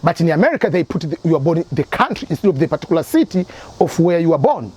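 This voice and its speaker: Lively high-voiced man. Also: slightly rough. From Tanzania. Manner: repeats points and works through examples. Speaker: immigration advice channel host. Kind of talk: explanatory advice talk.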